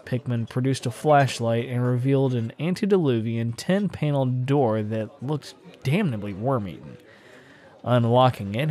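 A man's voice: mid-range voice, darkish tone. A man narrating a classic horror story in a dramatic, literary audiobook style.

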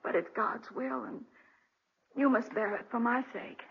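An actress playing a frail, devoted, sorrowful wife, tender and halting, in 1940s radio drama style. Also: female voice, a little high, creaky, breathy edge, full character voice. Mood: pleading, resigned. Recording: muffled, noisy 1940s radio recording.

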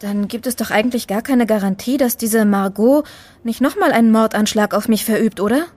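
An actress playing an inquisitive, sharp-tongued woman with a sardonic, probing manner in an audio drama. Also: a little high, a little fast, close to an everyday voice. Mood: indignant, sarcastic. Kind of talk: German audio drama dialogue.

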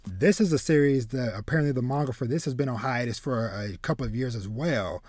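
Man, thirties, podcast host, casual talk, halting with repeated words, dry home recording. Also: mid-range voice, darkish tone, no echo. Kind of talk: casual chat about manga and anime. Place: small home room with bookshelves.

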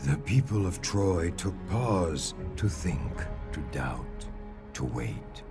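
An actor as the grave storyteller of an ancient Greek legend in a video game, narrating in a solemn, measured way. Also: male voice, rumbling low, exaggerated voice. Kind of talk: scripted epic narration.

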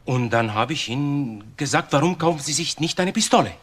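An actor playing a nervous, evasive waiter in a crime drama, talking in a halting way. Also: male voice, on the high side, lively intonation. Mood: nervous, explaining. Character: evasive, nervous male waiter questioned by police.

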